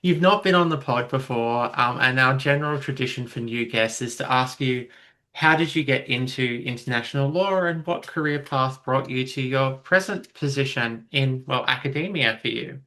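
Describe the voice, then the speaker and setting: Chatty podcast host man, bright voice. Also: mid-range voice, moderate pace. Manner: chatty, a bit self-deprecating, filler-heavy. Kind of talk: casual podcast interview.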